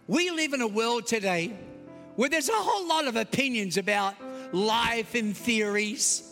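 A man with an Australian accent preaching a Christmas Eve sermon, emphatic and swinging between loud and soft. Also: very high voice. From United States. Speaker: pastor. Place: large church hall. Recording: long hall reverb.

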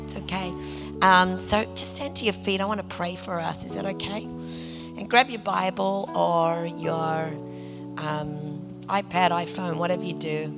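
A woman with a slightly raspy voice, leading a women's church gathering in prayer and casual sermon talk, full of fillers and restarts. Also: fairly low pitch, steady tone, unhurried, big-room sound, over music. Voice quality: raspy today. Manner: warm, chatty, many fillers and restarts. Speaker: women's ministry leader.

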